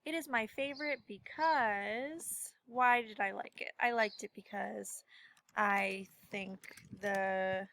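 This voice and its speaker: A woman's voice: mid-range voice, fairly even intonation, slow pace, a bit bright. A woman teacher giving a casual lesson to children, thinking aloud as she demonstrates.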